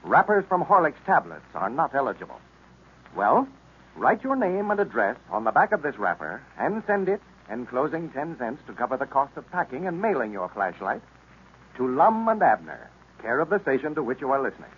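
American guy doing 30s radio announcer read, very lively intonation, muffled noisy old recording. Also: medium pitch, moderate pace, husky. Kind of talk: 1930s radio announcing, scripted sponsor pitch and narration.

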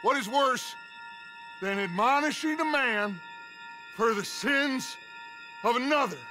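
Western game outlaw boss, high pitched for a man, theatrical n slow, music behind. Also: lively intonation, exaggerated voice. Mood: mock-pleading, theatrical. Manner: theatrical, eloquent, grandiose. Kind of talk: dramatic video game acting.

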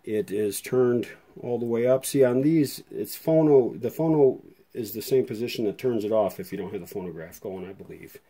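A man's voice: fairly low pitch, normal speed, dark tone. A man giving a casual hands-on demonstration as a vintage radio restorer, thinking aloud in an informal, tentative way.

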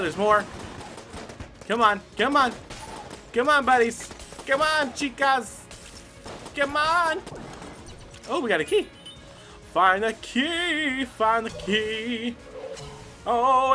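A man giving casual, excited gameplay commentary as host, exclaiming and swearing as he talks to himself and the game. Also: very high voice. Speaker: gaming video host. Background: game music.

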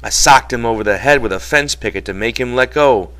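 A man voicing a protective, exasperated ranch hand in a storybook reading, telling things forcefully in folksy, ungrammatical speech. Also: medium pitch, a little fast, voice pushed up, natural voice. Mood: agitated, insistent.

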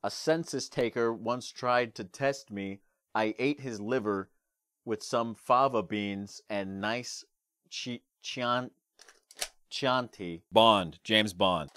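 A young man performing classic movie characters in short, deadpan, theatrical one-liners. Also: on the low side, slow pace, no room sound. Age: young adult. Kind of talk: amateur impressions of film quotes. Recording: clearly noisy background with clicks.

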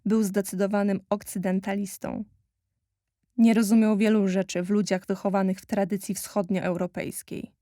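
A woman reading scripted documentary narration for an audio play in a measured, literary way. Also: mid-range voice, mellow, no echo, studio quality.

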